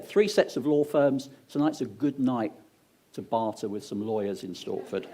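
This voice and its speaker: A man's voice: on the high side, darkish tone, a little hiss or hum. A man hosting an awards ceremony with an English accent, reading out sponsors with joking asides to the crowd. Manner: upbeat, joking asides to the audience. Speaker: awards host. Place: event venue on stage.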